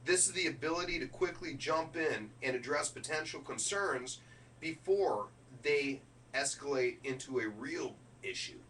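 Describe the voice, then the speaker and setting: A man delivering a motivational business keynote speech in an emphatic, deliberate way, punching key words as a speaker. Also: mid-range voice, a bit bright. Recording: distant microphone with faint noise and hum.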